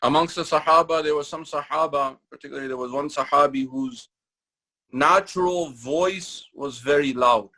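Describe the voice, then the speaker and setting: A man giving a Ramadan lecture in a mosque, speaking in measured, repeated phrases and reciting Quranic Arabic between his English explanations. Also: a little high, fairly bright tone, rough recording. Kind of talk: sermon-style religious lecture.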